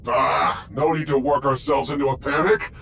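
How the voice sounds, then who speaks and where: A man's voice: medium pitch, lively intonation, moderate pace, fairly bright tone, a little hiss or hum, close to an everyday voice. A man playing a brash, dismissive henchman guard in a video game. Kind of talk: video game cutscene acting. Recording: game music behind the voice.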